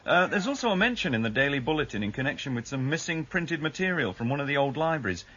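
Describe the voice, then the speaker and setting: An efficient police assistant with a dry streak in a radio drama. His medium-pitched voice moves with lively intonation on a slightly muffled recording. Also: quick pace, full character voice.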